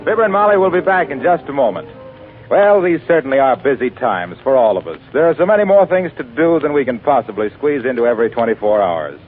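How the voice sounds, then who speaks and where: A man's voice: mid-range voice, very animated, fast. Male 1940s sponsor announcer, swooping intonation, phone-like old radio sound with faint music. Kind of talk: 1940s radio advertising read.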